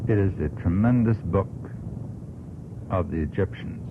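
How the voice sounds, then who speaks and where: A low-pitched American man speaks as a guest on a radio discussion. He argues earnestly in deliberate, oratorical phrases with firm emphasis. The sound is a narrow, tinny old broadcast with clear background noise. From United States. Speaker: panel guest. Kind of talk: earnest argument on a radio talk show.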